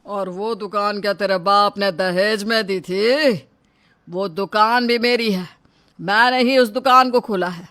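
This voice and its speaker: A performer plays a tyrannical father and shop owner in a melodramatic family story. The voice is bright and hard-edged, always domineering, with a threatening, shouting delivery. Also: mid-range voice, steady tone, a little slow. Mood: angry, domineering. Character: tyrannical, short-tempered middle-aged father and shop owner. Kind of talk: melodramatic audio story dialogue.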